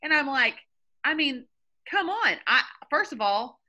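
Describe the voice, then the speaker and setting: Give me a woman leading a team video call with a bright, forceful voice. She is energetic and emphatic, laughs easily, and hammers her points home through repetition. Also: high voice, measured pace, muffled recording. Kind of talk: motivational group call.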